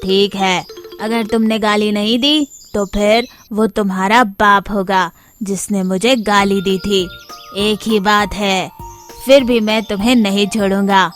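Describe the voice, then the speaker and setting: A woman's voice: mid-range voice, steady tone, a little slow, a bit bright, faint music behind, pitched below the performer's own voice, lightly acted. A woman voicing a big, greedy, bullying male wolf in a children's story, with a blustering, accusing manner. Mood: menacing, scolding.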